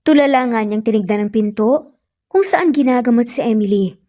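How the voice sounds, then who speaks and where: A woman's voice: fairly high pitch, normal speed, warm tone. A woman narrating a scripted romantic drama as a storyteller.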